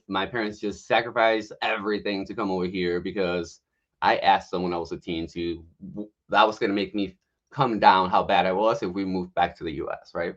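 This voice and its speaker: Deep-voiced man, candid storyteller. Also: fairly bright tone, dull audio. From Mexico and the US. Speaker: podcast guest, health coach. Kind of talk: casual personal storytelling. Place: remote online livestream call.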